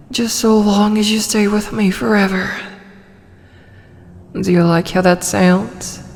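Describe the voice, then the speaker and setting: A woman's voice: on the low side, a little mellow, long reverb tail. A woman voicing a possessive, doting tsundere female dragon in an ASMR roleplay, with a tender, coaxing manner. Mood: teasing, adoring. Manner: slow, coaxing, tender delivery with breathy asides.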